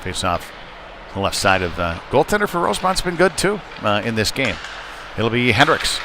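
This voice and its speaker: A man doing live play-by-play ice hockey commentary in short, halting, conversational phrases. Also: mid-range voice, big pitch swings. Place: indoor ice rink. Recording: noisy live arena stream, rink ambience. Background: clear rink noise and ambience.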